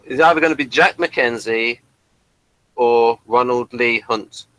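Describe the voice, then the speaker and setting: Bright-voiced man, halting fan chat. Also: medium pitch, dry recording, low-quality recording. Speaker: podcast co-host reviewing a TV series. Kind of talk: casual fan discussion.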